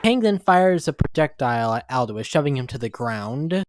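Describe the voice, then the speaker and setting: A man's voice: mid-range voice, lively intonation, normal speed, no echo. A man reading scripted narration for a comic-book dramatic story. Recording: music behind the voice.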